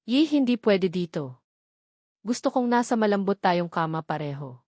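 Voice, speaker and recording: A woman's voice: medium pitch, animated, normal speed, darkish tone, no room sound, clean studio sound, natural voice. A woman with a Filipino accent voicing an amorous, urgent male fiancé in a narrated romance story, mixing Tagalog with English endearments. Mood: pleading desire.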